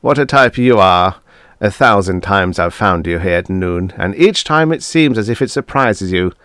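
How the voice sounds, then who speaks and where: A man's voice: sits low, lively intonation, normal speed, a bit bright, no echo, studio quality. A man voicing a stout, jovial older male professor who talks in a hearty, overbearing way, chuckling and pushing his point.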